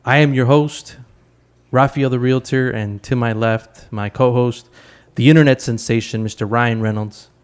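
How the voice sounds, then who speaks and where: A man's voice: mid-range voice, steady tone, moderate pace, clean tone. A man in his thirties hosting a podcast from home, eager and friendly, often stumbling and repeating words as he asks questions. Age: 30s. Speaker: podcast host. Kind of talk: casual interview chat.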